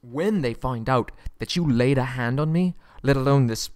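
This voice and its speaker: A man's voice: mid-range voice, normal speed, a little mellow, no echo, natural voice. A man playing a haughty but frightened young kidnapped prince in an ASMR roleplay audio drama, stammering and pleading, close on the mic. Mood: outraged, cursing.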